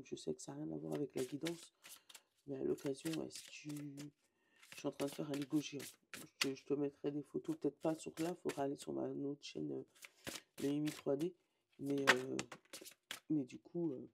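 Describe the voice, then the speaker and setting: Hoarse gravelly woman reading cards. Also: sits low, background noise. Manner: rambling, self-correcting, reads card meanings aloud. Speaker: tarot and oracle card reader. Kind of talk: casual daily card reading.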